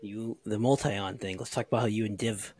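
A man's voice: on the low side, steady tone, a little fast, darkish tone, breathy edge. A man co-hosting a podcast with casual, jokey interjections and informal slangy talk. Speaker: podcast co-host.

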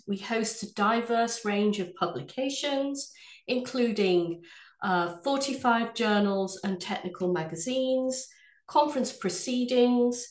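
A woman from England with an English accent, head of sales, presenting a webinar from her office in a measured, polished way. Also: fairly high pitch, echoey room. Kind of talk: scripted product presentation.

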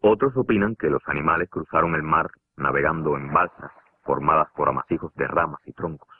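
Very deep Cuban man narrating. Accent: Cuban Spanish. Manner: measured, explanatory. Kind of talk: scripted documentary narration. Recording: tinny lo-fi narration over music.

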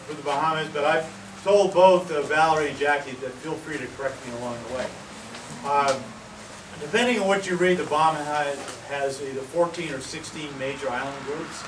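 A man from Maine giving a casual talk outdoors, with many fillers and pauses. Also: on the high side. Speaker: presenter. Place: outdoor pavilion among palm trees. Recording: roomy, distant, noisy outdoor sound.

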